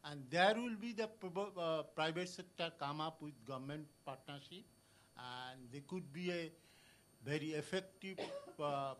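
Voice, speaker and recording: A man from Bangladesh making a halting comment from the audience at a conference, with many pauses and restarts. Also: a little high, a bit bright, slight background noise. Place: conference hall.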